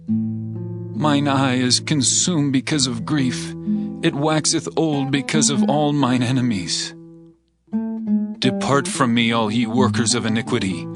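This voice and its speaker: A man reading a psalm in a slow, prayerful manner. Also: medium pitch. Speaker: reader. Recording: guitar music behind.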